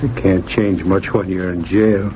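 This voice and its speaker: An actor playing a ruthless, scheming frontier crook with slangy, menacing talk in an old-time radio drama. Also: male voice, low voice, fairly even intonation, unhurried. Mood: sly, menacing. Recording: muffled, noisy vintage radio recording.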